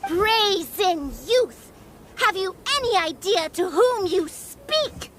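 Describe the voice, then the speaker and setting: A woman's voice: very high-pitched, lively intonation, takes it slowly, a bit bright, a little hiss or hum, full character voice. A woman voicing a small, proud and fierce fairy guardian with haughty, grandiose delivery in a fantasy game fan dub. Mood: haughty, indignant. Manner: haughty, grandiose, old-fashioned declaiming.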